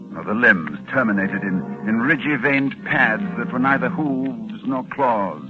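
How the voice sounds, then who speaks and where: An actor playing a grave, horror-struck scholarly doctor, with dramatic pauses and halting repetitions, in 1940s radio drama style. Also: male voice, medium pitch. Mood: horrified awe. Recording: muffled 1940s radio, loud music.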